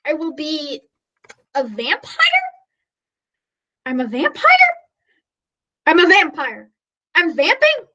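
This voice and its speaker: A woman playing a flustered, bumbling radio news reporter who speaks haltingly in a mock live interview. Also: high-pitched, huge pitch swings, pushed and bright, highs cut off, heavily acted. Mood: flustered stalling. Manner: halting, stumbling over words.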